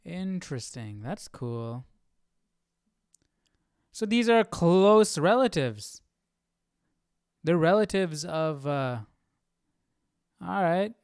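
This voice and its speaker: A man's voice: fairly high pitch, sing-song, drawn-out words, pauses often, darkish tone, smooth, no echo. A man hosting a Bible study livestream, chatting informally with slang and reading out study notes.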